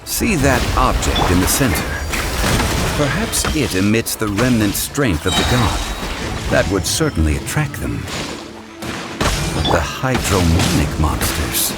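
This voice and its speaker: Deep-voiced, dignified gentleman scholar. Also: sing-song. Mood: serious. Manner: measured, formal, explanatory. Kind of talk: scripted English game dub dialogue. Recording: dramatic music under the voice.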